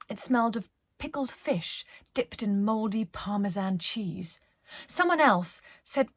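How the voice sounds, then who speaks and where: Woman reading a kids story, super lively up and down, bit husky, dry sound. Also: medium pitch, dry recording. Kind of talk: animated children's story narration.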